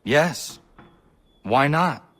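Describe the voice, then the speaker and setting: An actor playing a determined young assassin apprentice, formal, plain-spoken and a little naive, in a video game. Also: male voice, fairly high pitch, lively intonation, drawn-out words, a bit bright, full character voice. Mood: earnest, blunt. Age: young adult. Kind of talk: video game cutscene acting and narration.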